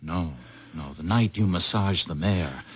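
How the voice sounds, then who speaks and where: Otto, a protective nephew. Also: male voice, low-pitched, a little slow, a bit bright, husky, no echo, heavily acted. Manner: urgent, protective. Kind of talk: 1970s radio drama acting.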